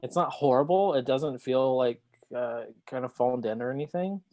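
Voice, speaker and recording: A man's voice: medium pitch, darkish tone. Hesitant man in a casual book chat. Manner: hesitant, tentative, halting. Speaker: podcast panelist. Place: remote video call.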